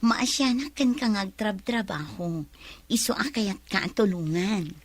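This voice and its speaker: A woman's voice: mid-range voice, measured pace, dry recording. Casting: woman to play affectionate, supportive young fiancee, Ilocano accent, middle register, radio drama. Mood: affectionate, teasing. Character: sweet, supportive young fiancee eager to work abroad.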